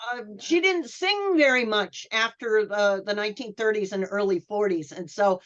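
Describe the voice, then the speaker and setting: A woman's voice: medium pitch, moderate pace, fairly bright tone. A woman speaking hesitantly, with many ums, as a panelist in a casual discussion. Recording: dull lo-fi online call audio.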